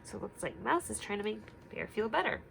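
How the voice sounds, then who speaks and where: A woman teacher reading a picture book aloud to young children, instructive and deliberate, stopping to put questions to them. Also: medium pitch, expressive, gravelly, fairly bright tone, a little hiss or hum.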